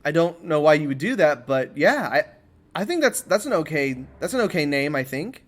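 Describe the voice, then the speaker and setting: A man hosting a comedy podcast in a mock interview style, informal and halting, with an exasperated manner. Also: on the high side, sing-song. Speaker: podcast host.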